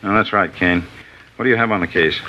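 An actor playing a brisk, commanding district attorney in a 1950s radio drama. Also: male voice, low voice, bright, forceful tone. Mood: firm. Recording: dull hissy 1950s radio recording.

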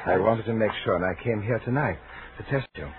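An actor playing an obsessive, lonely, menacing admirer in a 1940s radio thriller, speaking in a halting, repetitive, intense way. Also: male voice, on the low side, close to an everyday voice. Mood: earnest, brooding. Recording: scratchy, narrow-band 1940s radio recording.

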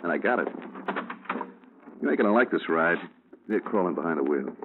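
A man's voice: deep voice, lively intonation, fairly quick. An actor playing a crude, sneering gangster gunman who threatens in tough-guy slang in a 1950s radio crime drama. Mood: sneering menace. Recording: noisy, tinny 1950s radio recording.

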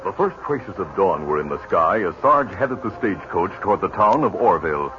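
Deep, dramatic male radio narrator, music bed, muffled old broadcast. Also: big pitch swings, brisk. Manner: dramatic storytelling delivery. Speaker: narrator and announcer.

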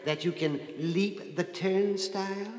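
An actor playing a sly, benign little old gentleman, polite and insinuating, in a 1950s radio drama. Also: sits high, steady tone, takes it slowly. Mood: genial, sly. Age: older. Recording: 1950s radio, echoey reverberant hall.

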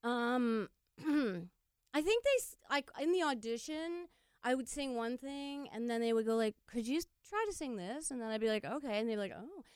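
A woman, an actress, chatting as an interview guest, joking and a little halting. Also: fairly high pitch, animated, darkish tone, clean tone, no echo, clean studio sound. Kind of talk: casual interview chat.

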